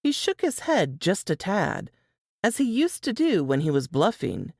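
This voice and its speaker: A woman's voice: medium pitch, sing-song, a little slow, no echo, clean studio sound. A woman narrator reading a novel in a scripted storytelling style.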